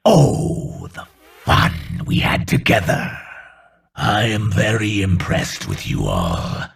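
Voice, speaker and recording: A monstrous video game villain with a low, husky, gravel-filled voice. He drags out his words with theatrical menace and gloats condescendingly, with sadistic playfulness. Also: full character voice. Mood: mocking amusement. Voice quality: gravelly, raspy. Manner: slow, theatrical, mockingly condescending.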